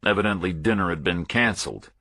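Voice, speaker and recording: A man's voice: deep voice, quick pace, pushed and bright. A man narrating a first-person thriller audiobook in short, clipped, terse phrases.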